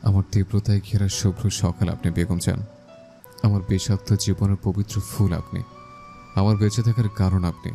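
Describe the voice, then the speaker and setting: A man playing a brooding, devoted young husband in an audio love story, with a gravelly voice and romantic declarations. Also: level tone, warm tone, soft background music. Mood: intense devotion. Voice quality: gravelly, low voice. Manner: slow romantic declarations to his beloved.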